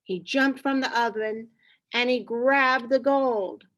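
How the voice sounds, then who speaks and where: A woman reading a children's story aloud in an expressive, deliberate way. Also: on the high side. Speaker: storybook reader. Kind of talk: scripted children's story narration.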